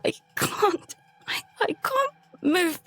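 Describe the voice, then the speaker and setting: An actress playing a terrified girl who narrates a survival horror audio drama in halting, fragmented phrases. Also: very high voice, lively intonation, no room sound, exaggerated voice. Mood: panicked. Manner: halting, fragmented, hushed dread breaking into pleading.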